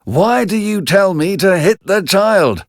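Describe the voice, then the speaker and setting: A man's voice: sits high, lively intonation, slow, creaky, dry recording, studio quality, pitched above the performer's own voice, heavily acted. A man voicing an earnest, questioning young fisherman in a bedtime storybook tale, eager and easily led. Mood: indignant.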